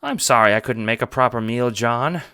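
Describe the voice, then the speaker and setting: A man voicing a doting, jovial but easily flustered elderly grandmother in an audio drama, with a gentle, fussy manner. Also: medium pitch, animated, moderate pace, smooth, dry recording, clean studio sound, voice pushed up. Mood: gently apologetic.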